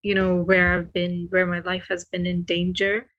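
A woman's voice: fairly low pitch, completely flat delivery, normal speed, fairly bright tone, muffled recording. Informal, tentative woman from Sri Lanka chatting. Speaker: podcast guest, traveller. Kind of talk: casual chat.

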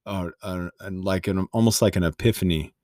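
Deep voiced guy cohosting a faith podcast, relaxed and rambly, kinda jokey, dry sound. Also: a little fast, dry recording. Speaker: podcast co-host. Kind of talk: casual faith podcast chat.

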